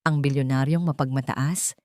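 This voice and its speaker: A woman's voice: rumbling low, fairly even intonation, normal speed, darkish tone, no echo, clean studio sound. A woman reading a scripted romantic story as a dramatic narrator.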